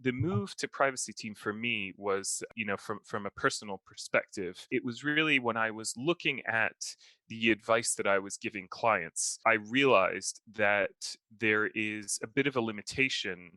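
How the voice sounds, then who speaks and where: A man's voice: lowish voice, moderate pace, sharp tone, no room sound. A man in his thirties, originally from the United States, with an American accent, telling his career story as a podcast guest in a fluent, enthusiastic way with frequent restarts. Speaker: guest, privacy consultant. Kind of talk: remote podcast interview.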